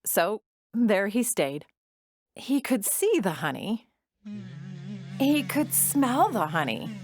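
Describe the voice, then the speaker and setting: A woman narrating a children's storybook drama in an expressive, deliberate storytelling manner. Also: mid-range voice, dry recording, studio quality.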